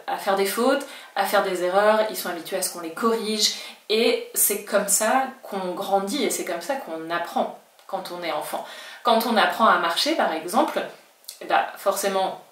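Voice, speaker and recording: A woman's voice: medium pitch, moderate pace, a bit bright, reverberant, recorded from a distance. A woman whose first language is French, a Spanish teacher giving a chatty, reassuring video lesson and now and then acting out her learners' worries. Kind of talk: talking-head language lesson.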